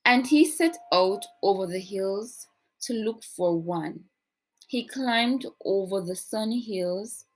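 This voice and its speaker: A woman reads a picture book aloud to children at an unhurried pace. Her delivery is deliberate and expressive, shaped like classic storytelling, with a mid-range voice. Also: medium pitch, low-quality recording. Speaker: storybook reader, teacher.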